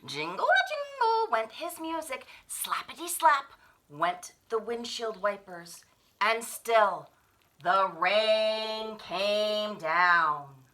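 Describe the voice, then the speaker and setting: A woman's voice: medium pitch, big pitch swings, very slow, bright, forceful tone. A woman reading a children's picture book aloud in a theatrical way, drawing out the refrains. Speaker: children's story reader at a library.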